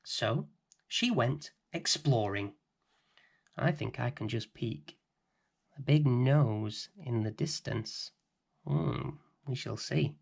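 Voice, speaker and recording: A middle-aged man hosting and reading a children's bedtime story in a gentle, expressive way. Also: medium pitch, slow, gravelly, long pauses. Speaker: storybook reader and host.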